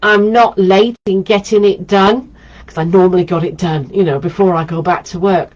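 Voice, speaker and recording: A woman's voice: fairly low pitch, steady tone, normal speed, darkish tone, highs cut off. A woman and holistic therapist, nasal and congested from a head cold, chatting in a rambling, meandering way with plenty of ums on a live stream from home. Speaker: holistic therapist hosting a weekly live video.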